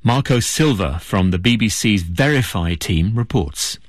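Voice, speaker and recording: A man presenting the news in a measured, formal way. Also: fairly low pitch, expressive, no echo, studio quality.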